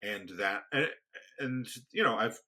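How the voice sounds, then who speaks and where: A soft-spoken man co-hosting a casual podcast, rambling with frequent restarts. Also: lively intonation, a little slow. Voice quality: booming when raised. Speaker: podcast co-host. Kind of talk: casual conversation. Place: home studio, remote recording.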